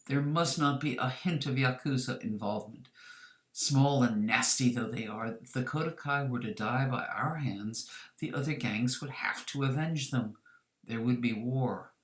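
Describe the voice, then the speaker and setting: A woman voicing an elderly, courteous male crime boss with formal, deliberate speech, in a story reading. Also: a bass voice, reverberant. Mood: careful, probing.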